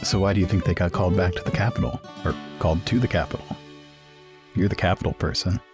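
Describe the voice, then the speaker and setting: A man playing a restless, reticent scholar with an adventurous streak, speaking haltingly in improvised roleplay over background music. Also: low-pitched, lightly acted. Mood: thoughtful. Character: James, restless adventurous young scholar, reticent about leaving. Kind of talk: improvised in-character roleplay dialogue.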